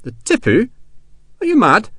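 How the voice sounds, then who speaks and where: Audiobook role: upright colonel, exasperated and formal, raised pitch, very lively intonation, close dry sound; male reader acting him. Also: normal speed, breathy edge, dry recording, heavily acted. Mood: exasperated, accusing. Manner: indignant, exclaiming, formal. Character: Scottish colonel, stern, upright, flustered older officer. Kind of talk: audiobook character dialogue.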